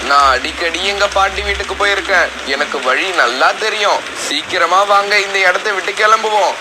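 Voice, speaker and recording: A man's voice: high voice, fairly quick, close to an everyday voice. A man voicing a stubborn, touchy young crow with a whiny, dramatic manner in a children's cartoon story. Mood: explaining. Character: touchy, stubborn young male crow, quick to take offence. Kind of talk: animated children's story voice acting. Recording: noisy track with ambient background.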